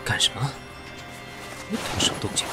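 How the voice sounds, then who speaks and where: An actor playing a proud, cool company boss with a condescending, sarcastic manner in an audio drama. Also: male voice, normal speed, over music, natural voice. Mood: wary, irritated. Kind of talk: modern audio drama acting.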